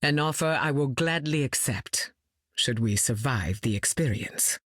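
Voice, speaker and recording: An actress playing a regal, composed mutant heroine in a video game, with a stately, measured manner and dry wit. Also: female voice, rumbling low, animated, no room sound, exaggerated voice. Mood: composed, grave. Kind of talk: video game character lines.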